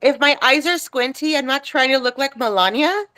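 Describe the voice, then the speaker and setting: A woman's voice: high voice, normal speed, sharp tone, rough recording. A woman guest with a head cold, insisting and repeating herself in a casual chat.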